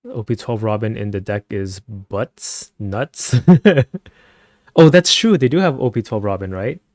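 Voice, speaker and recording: A man chatting casually with viewers on a live stream, speaking softly and keeping his voice down at night at home, and laughing often. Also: a little slow, dry recording, studio quality. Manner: soft-spoken, keeps voice low at night.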